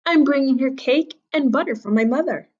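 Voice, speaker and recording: A woman's voice: sits high, a little fast, darkish tone, muffled recording, pitched above the performer's own voice, natural voice. A woman voicing a vain, boastful little girl from a storybook, with a preening, singsong delivery. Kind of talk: children's storybook character reading.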